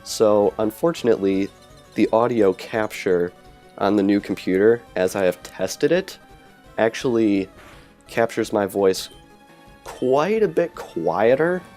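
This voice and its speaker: A man's voice: on the low side, lively intonation, takes it slowly, mellow. A man casually talking over his gameplay, rambling and correcting himself as he goes, recorded through a cheap earbud headset microphone. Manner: rambling, self-correcting, chatty. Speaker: let's play commentator. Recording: lo-fi earbud mic with game music.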